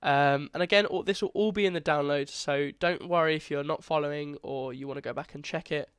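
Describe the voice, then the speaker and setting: A man's voice: on the high side, fairly even intonation, fairly quick, fairly bright tone, clean tone, no echo, studio quality. A young man, congested, casually talking through a coding tutorial and correcting himself as he goes. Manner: casual, chatty, self-correcting. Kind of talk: screen-recorded coding tutorial.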